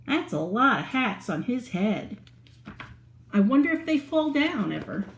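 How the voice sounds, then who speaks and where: A woman's voice: fairly high pitch, very animated, a little slow, echoey room, slight background noise. A woman reading a picture book aloud to young children in a playful, sing-song way, stopping to ask them questions. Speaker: children's storyteller.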